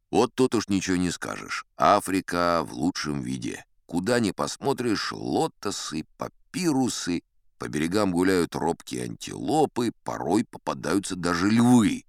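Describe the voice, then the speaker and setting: An actor playing a boastful old sea captain who spins tall tales in an audiobook, conversational and self-important, with wry dramatic pauses. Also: male voice, sits low, no room sound, clean studio sound, heavily acted. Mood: wry, self-satisfied.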